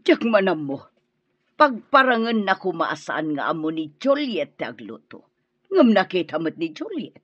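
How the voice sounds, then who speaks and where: A woman's voice: lowish voice, very animated, normal speed, breathy edge, no room sound, dull audio. An actress playing a harsh, scornful middle-aged mother-in-law in an Ilocano radio drama, scolding and demanding with an Ilocano accent. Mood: accusing.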